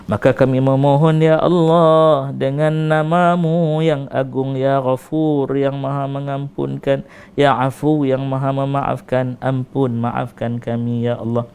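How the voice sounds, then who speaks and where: Malaysian Malay man leading a pleading prayer, smooth voice, fairly level pitch. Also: level tone, measured pace, darkish tone. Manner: pleading, rising and falling recitation with repeated invocations. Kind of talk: emotional supplication prayer.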